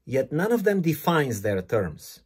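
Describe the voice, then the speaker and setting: A man from Bulgaria with a Bulgarian accent, delivering a scripted opinion monologue in a measured, emphatic way, stressing words one by one. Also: a little high, very animated, breathy edge.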